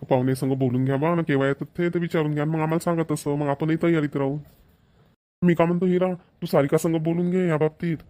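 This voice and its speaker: A man voicing a practical middle-aged village father, with a brisk, instructing manner. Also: on the high side, steady tone, warm tone, natural voice.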